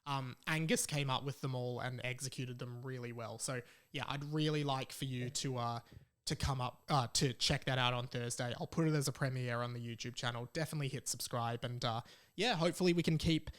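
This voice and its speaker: A man's voice: mid-range voice, fairly even intonation, fairly quick, fairly bright tone. A young adult man with an Australian accent, chatting casually as a solo podcast host in an informal, self-deprecating way.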